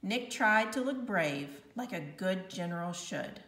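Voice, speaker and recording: A woman reading a children's book aloud as an expressive narrator. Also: medium pitch, unhurried. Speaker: storybook reader. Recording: echoey room, distant from mic.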